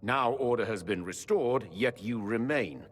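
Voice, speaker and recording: Indignant husky nobleman in a hall. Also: medium pitch, a little slow. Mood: indignant, accusing. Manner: accusatory, formal oratory. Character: indignant, suspicious nobleman accusing at a council. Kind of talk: dramatic fantasy game acting. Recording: hall reverb.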